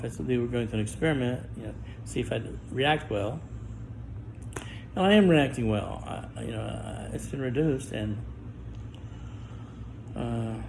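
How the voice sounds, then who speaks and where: Gravelly, halting middle-aged man. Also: medium pitch, background noise. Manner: guarded and halting, with stammered restarts and long pauses. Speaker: vlogger. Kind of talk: personal monologue to camera.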